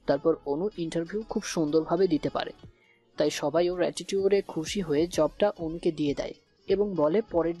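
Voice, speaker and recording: Female narrator for romantic audio fiction, soft dark tone, fairly low, light music bed. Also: slightly rough. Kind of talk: scripted romantic story narration. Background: faint background music.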